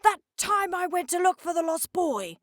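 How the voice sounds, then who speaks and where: A high-pitched male hydrocopter character from a children's storybook, acted out by a woman reader. He is a drowsy, easily startled vehicle with an eager helpful streak. The sound is close and dry. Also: sing-song, normal speed, dry recording. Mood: sleepy.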